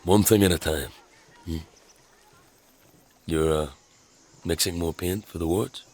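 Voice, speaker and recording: A wry troubled scavenger in a fantasy audio drama, low and slow, husky, kinda hesitant. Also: male voice, darkish tone, exaggerated voice. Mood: weary, friendly. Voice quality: gravelly, husky. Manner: hesitant, halting, dryly sarcastic. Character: gruff, troubled young male scavenger with inherited powers, wry.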